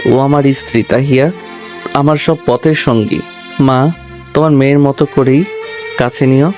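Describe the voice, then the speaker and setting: An actor playing a proud, resolute young husband and son in a dramatized audio story, earnest and measured. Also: male voice, medium pitch, fairly even intonation, darkish tone, close to an everyday voice. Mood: tender. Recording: background music under the voice.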